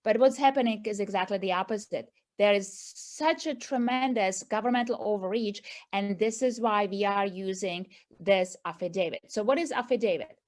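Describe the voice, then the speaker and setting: A woman's voice: mid-range voice, moderate pace, hardly any pauses, a little mellow. A middle-aged woman from Czechoslovakia with a Czech accent, speaking earnestly to a group as the founder of an advocacy movement and often adding "right?" to her points. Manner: earnest, repeats points with tag questions. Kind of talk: speech to a group meeting.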